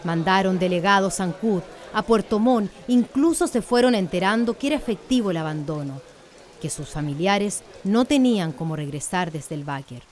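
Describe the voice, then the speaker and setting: A woman's voice: mid-range voice, lively intonation, moderate pace. A woman with a Chilean accent reading scripted narration for a radio drama.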